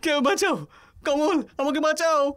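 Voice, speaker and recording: A man's voice: extremely high pitch, unhurried, a bit bright, no room sound, voice pushed up. A man voicing a cocky, impatient young vlogger in a horror story, with a brash, chatty delivery. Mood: panicked. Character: cocky, impatient young male vlogger exploring a haunted mansion. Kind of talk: audio horror story acting.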